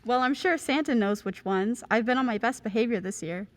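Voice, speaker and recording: A woman's voice: on the high side, a little fast, lightly acted. A woman playing a chirpy co-announcer, reading scripted sponsor banter for a radio show. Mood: cheerful, promotional.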